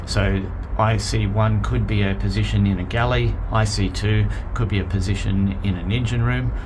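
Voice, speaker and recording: A man's voice: on the low side, steady tone, moderate pace, noisy background. A man giving a plain, methodical step-by-step equipment demonstration as an instructor, recorded inside a vessel. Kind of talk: hands-on demonstration, explanatory.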